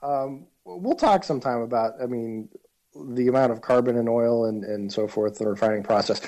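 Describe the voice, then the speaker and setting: Male podcast co-host, mellow dark tone, mid pitch, wry and halting, casual political talk. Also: poor audio.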